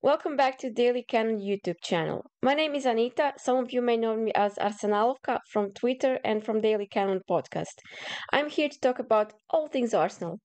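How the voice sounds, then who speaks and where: A woman's voice: a little high, a little fast, a little mellow. A woman from Croatia with a Croatian accent, a non-native English speaker presenting a football transfer review to camera, partly reading her notes, nervous and apologetic and often laughing at herself. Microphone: camera at home, simple setup.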